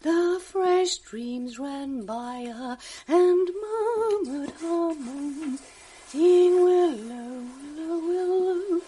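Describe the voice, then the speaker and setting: An actress playing a gentle, sorrowful young noblewoman in a radio drama, speaking softly and wistfully, at times half singing. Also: very high voice, animated, drawn-out words, full character voice. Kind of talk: 1980s radio drama acting.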